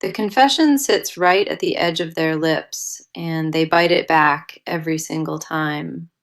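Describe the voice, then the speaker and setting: A woman's voice: low-pitched, measured pace. A woman giving a scripted tarot reading as host, in a solemn, emphatic, declarative way. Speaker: tarot card reader and host.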